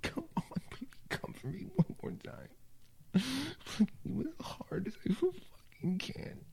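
Slow, breathy male whisperer, deep and raspy, for intimate roleplay. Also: very animated, mellow. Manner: breathy, murmured, heavy with sighs. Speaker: audio roleplay performer.

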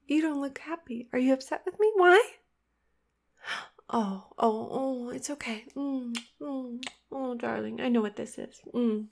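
A woman voices a possessive young lover who giggles and coaxes, sinking to hushed whispers. Her voice sits high and bright. She drags each word out slowly and sweetly. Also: vocal fry, full character voice. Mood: soothing. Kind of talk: intimate audio roleplay.

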